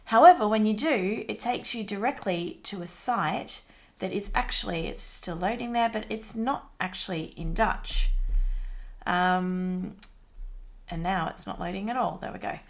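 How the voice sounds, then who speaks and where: A woman's voice: medium pitch, measured pace. A woman presenting a marketing assignment as a casual screen-recorded walkthrough, talking conversationally with frequent fillers. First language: English.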